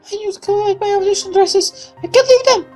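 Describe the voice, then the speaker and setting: A man's voice: very high voice, a little fast, darkish tone, faint music behind, pitched above the performer's own voice. A man voicing a spoiled, gushing young daughter in a visual novel, in a girlish way. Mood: upset, defiant.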